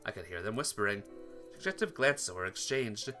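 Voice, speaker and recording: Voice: mid-range voice, expressive, normal speed, bright, forceful tone, faint music behind. A playthrough host reading visual novel dialogue aloud with casual commentary, slipping into character voices along the way.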